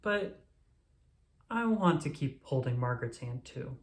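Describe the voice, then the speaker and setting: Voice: mid-range voice, animated, unhurried, reverberant. A narrator voicing a sweet, curious little boy tiger cub in a children's storybook. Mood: hesitant.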